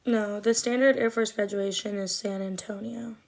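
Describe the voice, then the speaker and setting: A woman's voice: medium pitch, fairly even intonation, normal speed. A woman in her twenties chatting on a livestream, rambling with lots of fillers, with a slight lisp and trouble with her R sounds. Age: 20s. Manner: rambling and chatty, many likes and ums. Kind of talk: casual livestream chat answering comments.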